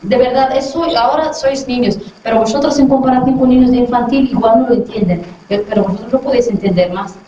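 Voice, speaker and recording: A woman's voice: fairly high pitch, quick pace, few pauses, mellow, a little hiss or hum. A middle-aged woman from Western Sahara, a dentist, talking to a class of children and asking them questions as she goes. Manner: friendly, asks the children questions to check they follow. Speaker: dentist visiting a school class. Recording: very echoey, far from the mic, dull lo-fi sound.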